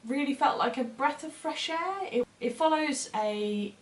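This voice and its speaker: A woman's voice: sits high, unhurried, reverberant, low-quality recording. A woman co-hosting a casual book chat, speaking in an enthusiastic, conversational way as she gives recommendations. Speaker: book reviewer co-hosting short fiction recommendations.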